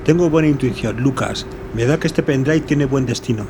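A man acting a resolute, warm-hearted adventurer in an audio drama. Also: medium pitch, a little fast, background noise, natural voice. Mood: confident. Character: Flynn, resolute, warm-hearted adventurer fleeing a computer world. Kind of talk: Spanish audio fiction acting.

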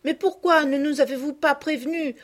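A woman doing shrill, excited little girls. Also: moderate pace, natural voice. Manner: shrill, eager questioning.

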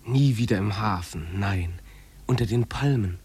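A man's voice: fairly low pitch, unhurried, darkish tone, husky, full character voice. An actor playing an eager, dreamy runaway boy in a 1950s German radio drama, speaking with fervent, pleading delivery. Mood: dreamy longing.

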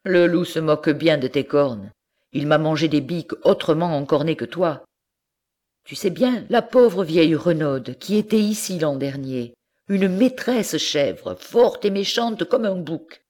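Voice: medium pitch, animated, unhurried, a little mellow. A reader voicing a worried older farmer who exclaims and warns in a storybook tale. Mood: warning. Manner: exclaiming, anxious. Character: older male farmer, fretful and protective.

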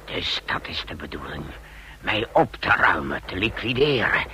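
An actor playing a cornered pilot, bitter, menacing and defiant, in a radio drama. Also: male voice, low voice, sing-song, normal speed, bright, forceful tone, slight background noise, exaggerated voice.

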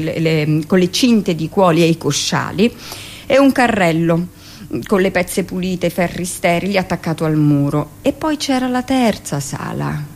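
An actress playing a hardened midwife, recounting hospital memories matter-of-factly in a theatrical radio monologue. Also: female voice, deep voice, darkish tone.

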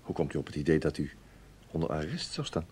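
An actor playing a tactful, dryly ironic intelligence officer in a Dutch radio play, speaking politely and a little hesitantly. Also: male voice, very deep voice, very animated, quick pace, mellow, husky, exaggerated voice. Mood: tactful, reluctant. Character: wry, tactful male intelligence officer breaking bad news. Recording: noisy old radio drama recording.

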